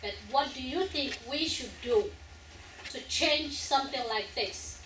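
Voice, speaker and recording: A woman's voice: sits high, measured pace. A young woman from Africa, the playwright and an actress, earnestly explaining at length to an audience after a stage play. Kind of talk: post-show question and answer. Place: college theater hall. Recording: echoey hall, far from the mic, noisy.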